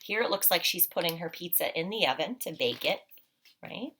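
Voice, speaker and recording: A woman's voice: on the low side, expressive, normal speed, noisy background. A woman teacher leading a read-aloud picture walk for children, explaining simply and asking frequent, encouraging questions.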